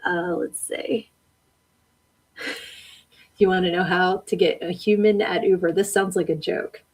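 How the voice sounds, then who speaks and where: A woman's voice: on the low side, fairly even intonation, measured pace, breathy edge, rough recording. A woman hosting a live-stream podcast, reading viewer comments aloud and chatting back with frequent laughs. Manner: chatty, laughs often, talks back to the chat. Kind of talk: casual live-stream chat.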